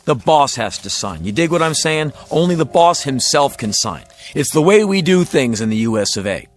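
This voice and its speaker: Animated, high-pitched American car salesman. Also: moderate pace. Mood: eager, insistent. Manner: slangy, emphatic, salesman patter. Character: folksy, fast-talking American used car salesman, agitated and insistent. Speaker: radio drama actor. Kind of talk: radio drama acting.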